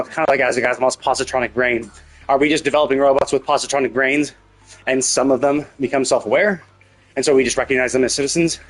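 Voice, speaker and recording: Husky guy streaming, rambles and rewords his questions as he thinks, music playing behind him. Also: medium pitch. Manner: thinks aloud, repeating and rephrasing questions. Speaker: livestream host. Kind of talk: casual rambling game-stream commentary.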